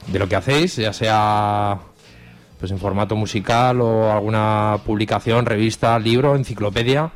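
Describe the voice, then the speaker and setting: A man with an accent from Spain, hosting a radio show in a casual, unscripted, colloquial way. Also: fairly low pitch. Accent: Spanish from Spain. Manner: informal, colloquial. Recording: music playing behind the voice. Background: background music.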